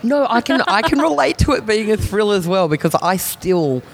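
A man who is a comedian, talking on a podcast in a halting way, with many restarts and frequent laughs. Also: high voice, big pitch swings, vocal fry. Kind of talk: podcast conversation.

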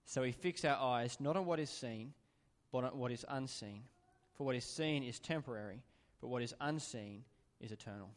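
A man reading a Bible passage aloud in a church, steady and even-paced. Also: mid-range voice, normal speed. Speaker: scripture reader. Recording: long hall reverb in church.

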